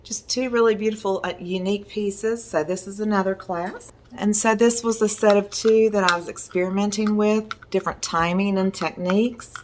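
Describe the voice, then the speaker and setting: A middle-aged woman with a hoarse voice, chatting casually as a resin art teacher while showing her work at home. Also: medium pitch, steady tone, normal speed, noisy background. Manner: chatty, enthusiastic, meandering. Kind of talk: casual video talk showing artwork.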